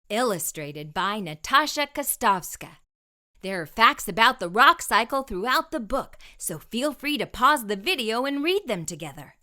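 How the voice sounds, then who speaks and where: A woman's voice: fairly high pitch, sharp tone. A woman hosting a children's storytime, introducing a read-aloud to young listeners. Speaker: storytime host reading aloud.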